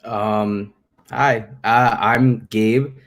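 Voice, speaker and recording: A nineteen-year-old man, a college student, introducing himself with some hesitation. His voice sits low. He pauses with fillers between phrases. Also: fairly even intonation. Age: young adult.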